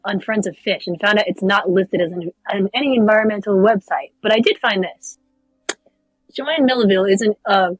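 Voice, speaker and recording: A woman's voice: mid-range voice, dry recording, close to an everyday voice, rough recording. A woman playing a smart, matter-of-fact young girl who explains things earnestly in an amateur cartoon script read.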